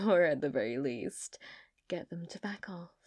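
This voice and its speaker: Woman playing a slow, teasing, possessive yokai woman. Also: lowish voice, animated, darkish tone, husky, no room sound. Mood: indulgent, protective. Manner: slow, teasing, intimate, often dropping to a whisper. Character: possessive, dominant female yokai, ancient, coyly sweet yet menacing. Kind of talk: ASMR roleplay.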